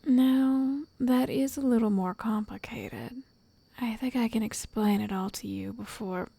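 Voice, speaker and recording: A woman plays a lovestruck, controlling witch in an ASMR roleplay. Her voice sits fairly high yet soft and mellow, with a creaky, whispery edge. She coaxes possessively, close and dry. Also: heavily acted. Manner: slow, coaxing, possessive endearments.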